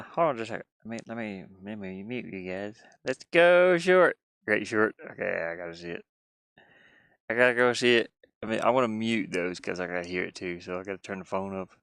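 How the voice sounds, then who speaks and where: A man with a fairly low voice chats casually on a livestream. He rambles and mutters to himself, thinking aloud as he works. The sound is close and dry. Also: a bit bright, clean tone, no room sound. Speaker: game-streaming host and hobby game designer. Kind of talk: casual livestream chat while working on screen.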